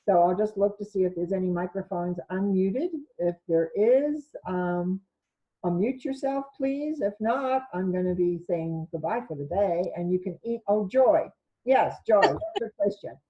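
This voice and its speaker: A woman with a naturally loud voice speaks to a group over a video call, her sound a little dull and lo-fi. She talks informally and rambles, stopping to correct herself mid-phrase. Her pitch sits in an ordinary middle range. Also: unhurried. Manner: rambling, self-correcting delivery. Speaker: spiritual teacher hosting an online session. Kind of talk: informal talk to an online group.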